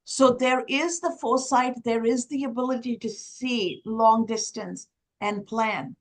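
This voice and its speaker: Sri lankan-born woman, tamil first language, highish voice, talks in long stacked lists on a panel. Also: measured pace. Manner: expansive, builds points in long lists. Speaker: panel speaker. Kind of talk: conference panel discussion.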